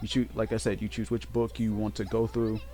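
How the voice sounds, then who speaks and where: A man giving casual, unscripted game commentary in a halting way, often repeating and correcting himself as he goes. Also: fairly low pitch, monotone, warm tone. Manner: halting, repetitive, self-correcting. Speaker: let's play host. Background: game music.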